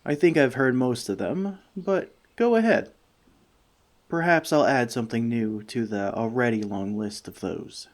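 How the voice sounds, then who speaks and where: A man playing a friendly forest elf in an ASMR roleplay, talking to the listener with dry, gentle teasing. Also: mid-range voice, animated, measured pace, lightly acted. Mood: relaxed, open.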